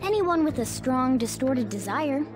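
A woman's voice: sits high, expressive, unhurried, over music. A woman voicing a small, self-assured male cat who talks like a bossy, pompous mentor in a video game. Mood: confident, explanatory. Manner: pompous, instructive. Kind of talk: English video game voice acting.

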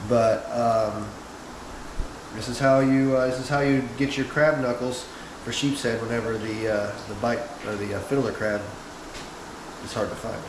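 A man's voice: medium pitch, steady tone, moderate pace. A man in his thirties, a fishing channel host, giving a casual step-by-step demonstration with lots of "uh" fillers, recorded by a camera several feet away in a small bait room. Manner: easygoing, many "uh" fillers, step-by-step. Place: small indoor bait room, hard walls. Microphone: wide camera several feet away. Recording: roomy echo, clear background hum.

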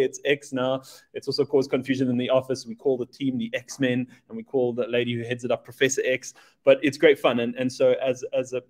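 A man originally from Zimbabwe presents over a video call in a relaxed, chatty way. He jokes about himself and fills his pauses with ums and uhs. His pitch sits in the middle range. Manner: chatty and self-deprecating, many fillers. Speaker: webinar presenter, Spotify team lead.

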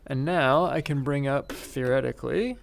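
A man hosting a virtual meeting, talking casually to himself and others as he works software through a headset microphone. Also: medium pitch, moderate pace, vocal fry. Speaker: seminar host. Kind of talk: casual talk while operating software. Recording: faintly noisy headset voice chat.

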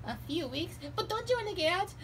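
A very high, squeaky cartoon sea sponge, excitable and whiny. He is dramatic and exclamatory, in a homemade puppet show with some background noise. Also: a little fast. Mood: worried. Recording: noisy homemade recording.

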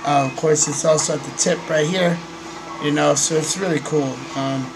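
Hoarse young man with a halting vlog delivery. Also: fairly high pitch, steady tone. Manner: halting, many ums and throat clearing. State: hoarse from a cold. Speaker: host. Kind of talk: casual webcam vlog. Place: small bedroom, indoors. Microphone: desk microphone on a stand. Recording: noisy home recording.

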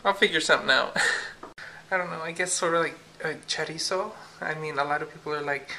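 A woman's voice: low-pitched, a little fast, bright, forceful tone, a little hiss or hum. A young woman vlogging at home at night, talking casually and giggling to a handheld camera. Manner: chatty and giggly, lots of fillers. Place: home kitchen at night, then living room. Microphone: camera held at arm's length.

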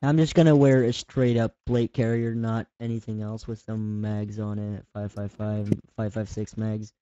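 A man from Belgium chatting casually while roleplaying a police officer in a game, relaxed and rambling with lots of fillers. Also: on the low side, normal speed, dry recording, dull audio.

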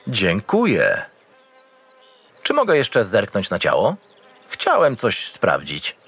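An actor playing a gruff, cynical and experienced homicide detective in an audio drama, curt and impatient. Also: male voice, lowish voice, wildly swooping pitch, slow, a bit bright, heavily acted. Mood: brooding, businesslike. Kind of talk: audio drama acting with noir narration.

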